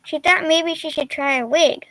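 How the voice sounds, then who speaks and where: A woman's voice: high voice, moderate pace, fairly bright tone, no room sound. A teenage girl reading a picture book and its character lines aloud over a video call.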